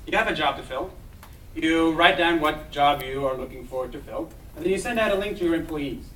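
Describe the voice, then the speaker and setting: A young man from Israel giving an eager startup pitch, talking fast and restarting his phrases. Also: fairly bright tone, a little hiss or hum. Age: young adult. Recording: echoey hall, mic from far off.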